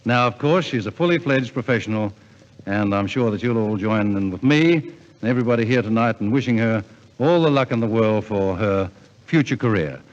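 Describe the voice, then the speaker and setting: A man's voice: fairly low pitch, lively intonation, fairly quick. A man compering a 1950s live radio variety show in a chatty, avuncular, effusively courteous manner. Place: hall with a live audience. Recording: muffled 1950s radio broadcast, echoing hall.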